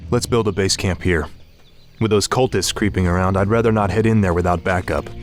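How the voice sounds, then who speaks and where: An actor playing a confident young prince and military commander in a fantasy video game, self-assured and commanding. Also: male voice, sits low, brisk, faint music behind, close to an everyday voice.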